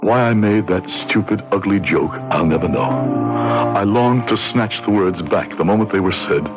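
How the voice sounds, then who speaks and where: An actor playing a conscience-stricken young medical student, speaking and narrating formally and earnestly in old radio style. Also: male voice, lowish voice, normal speed, over loud music, natural voice. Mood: regretful. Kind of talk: old-time radio drama acting and narration. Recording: dull lo-fi radio drama recording.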